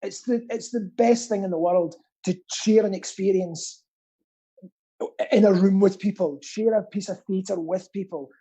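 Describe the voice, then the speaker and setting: A man's voice: sits high, unhurried, mellow, slightly rough. A man chatting casually as a guest, rambling and earnest, with a self-deprecating streak. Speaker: guest, pantomime actor and theatre stagehand. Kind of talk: casual chat.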